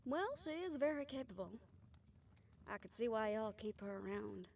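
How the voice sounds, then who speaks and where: Woman acting, young spellcaster, audio roleplay, fairly high pitch, folksy, wry, noticeable background noise. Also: animated, moderate pace, vocal fry, a little mellow. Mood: wry, upbeat.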